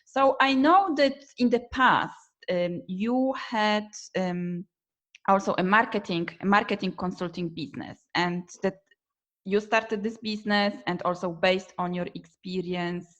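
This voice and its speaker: A woman's voice: mid-range voice, unhurried, highs cut off. A woman from Poland with a Polish accent, hosting an interview and asking questions in a friendly, chatty way with many "like"s. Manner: friendly, laughs often, says "like" a lot. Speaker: podcast host. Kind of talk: casual interview conversation.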